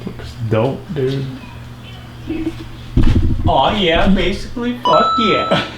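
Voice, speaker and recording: A man's voice: a little high, big pitch swings, drawn-out words, loud music behind the voice. A man chatting casually as he works, informal and laid-back in his wording. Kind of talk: casual chat while working. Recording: echoey room, clearly noisy.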